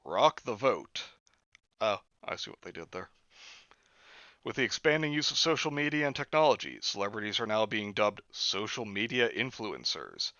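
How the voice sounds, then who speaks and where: Male host reading a book on stream, sardonic asides, bright tone, slightly dull lo-fi mic. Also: lowish voice, animated, normal speed, dry recording. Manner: sarcastic asides between read passages.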